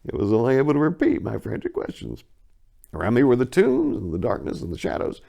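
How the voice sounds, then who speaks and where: A man giving a theatrical, brooding dramatic reading of a first-person horror story, phrasing it deliberately. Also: medium pitch, wildly swooping pitch, vocal fry, dark tone. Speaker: narrator.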